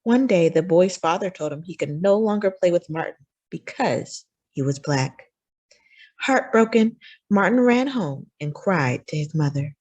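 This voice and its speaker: A woman reading a children's picture book aloud as a story time host, with expressive, deliberate phrasing for young listeners. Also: fairly low pitch.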